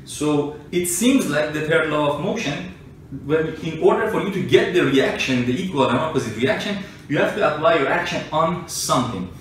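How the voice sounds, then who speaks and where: A man's voice: a little high, normal speed, hardly any pauses, a bit bright. A man explaining informally to a listener in an argumentative way, working through everyday examples. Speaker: explainer, self-described engineer and scientist. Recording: echoey room, distant mic, faint noise.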